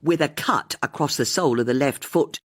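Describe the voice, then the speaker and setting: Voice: medium pitch, brisk, a bit bright, close to an everyday voice. An audiobook narrator voicing a cheeky, blunt woman amateur sleuth who blurts things out informally. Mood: pleased. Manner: blurting, informal, unconvincing when fibbing.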